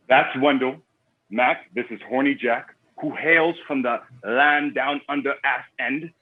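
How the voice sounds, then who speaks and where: A man's voice: medium pitch, steady tone, takes it slowly. A man playing a menacing, foul-mouthed card game boss with a violent temper and a blunt, domineering, taunting delivery in a drama table read. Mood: commanding. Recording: thin phone-like radio-sounding line.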